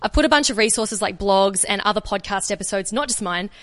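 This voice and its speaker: A woman's voice: mid-range voice, a little fast, a bit bright. Chatty Australian woman in a hall. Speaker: conference speaker. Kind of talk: live talk and Q&A at a conference. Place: conference room.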